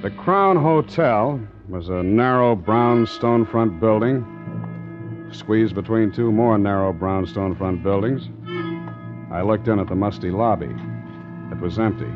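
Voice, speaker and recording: An actor playing a tough, wary American sea captain and narrator in a 1940s radio drama, with a clipped, wry delivery. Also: male voice, on the low side, lightly acted. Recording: dull old radio recording, organ music.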